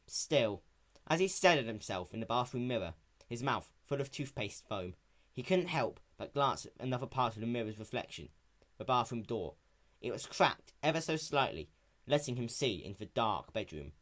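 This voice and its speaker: A man's voice: medium pitch, big pitch swings, moderate pace, fairly bright tone. A man narrating a scripted story in a dramatic reading style. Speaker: narrator of a fan fiction reading.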